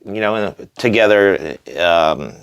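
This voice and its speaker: A man's voice: sits low, animated, takes it slowly, creaky, clear, resonant tone. A man hosting a casual interview, talking informally and often chiming in with short agreeing interjections. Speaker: podcast host. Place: recording studio.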